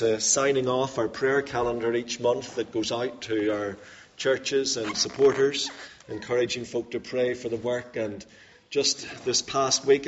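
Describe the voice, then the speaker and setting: A middle-aged man with a Northern Irish accent, the assistant director of a mission, addressing a church congregation in a measured, earnest way with frequent "uh" pauses. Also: fairly low pitch, dull audio, a little hiss or hum. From Northern Ireland.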